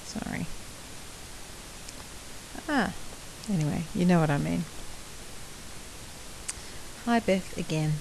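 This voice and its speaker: Low, slow croaky woman teaching maths. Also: darkish tone, slight background noise, rough recording. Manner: slow, patient explaining, with frequent clearing of the throat. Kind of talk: recorded maths lesson for students. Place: at home, drawing on a laptop.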